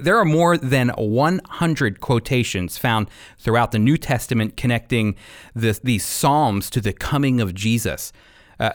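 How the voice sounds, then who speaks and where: A man hosting a radio program and teaching from the Bible in an earnest, deliberate, emphatic way. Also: mid-range voice, no room sound, clean studio sound.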